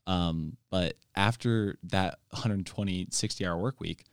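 Very low-voiced eager young man. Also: measured pace, clean tone, dry recording, clean studio sound. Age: young adult. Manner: earnest, enthusiastic, fillers and restarts. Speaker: church intern. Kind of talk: casual chat.

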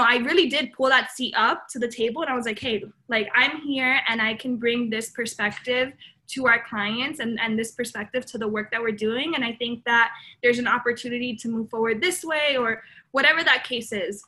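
A woman's voice: fairly high pitch, bright, forceful tone. A woman in her twenties from Miami giving earnest, informal interview answers peppered with "like" and "you know". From Miami, born in Colombia. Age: 20s. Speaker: interview guest, public relations account executive.

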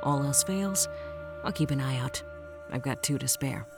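A woman's voice: very deep voice, moderate pace, loud music behind the voice. A woman playing a wry, confident, easygoing human rebel in an audio drama, with a casual way of talking. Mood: wry, assured.

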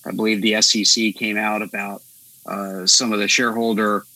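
A man co-hosting a podcast, thinking aloud in a relaxed, meandering way with many fillers. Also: lowish voice, normal speed, dry recording, low-quality recording. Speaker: podcast co-host. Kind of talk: casual conversation.

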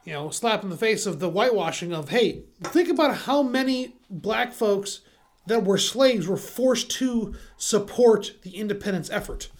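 A man's voice: sits high, lively intonation. A man co-hosting a casual podcast chat, swearing freely, laughing often and stumbling through his thoughts with lots of fillers. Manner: profane, laughs often, halting with many fillers. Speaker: podcast co-host.

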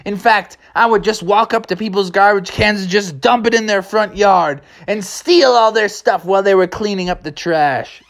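A man's voice: sits high, normal speed, hardly any pauses, a bit bright, voice pushed up. A man voicing a cheerful, dim, boastful small-time crook with a folksy, chatty manner, in a children's story. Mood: cheerfully boastful. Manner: folksy, chatty, tongue-tied.

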